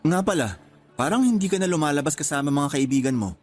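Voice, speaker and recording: A man's voice: on the high side, lively intonation, fast, soft background music. A man with a Filipino accent playing a devoted, teasing young boyfriend in a conversational radio drama. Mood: reassuring. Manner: sweet-talking, reassuring.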